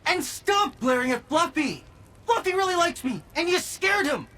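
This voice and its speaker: A high, bright voice full of swings, a bossy, teasing brat from a video game. The delivery is cheeky and exaggerated, always nagging someone grumpier. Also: female voice, sing-song, normal speed, heavily acted. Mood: whiny exasperation. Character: bratty, bossy, teasing youngster who nags a grumpy warlord. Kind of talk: animated video game voice acting.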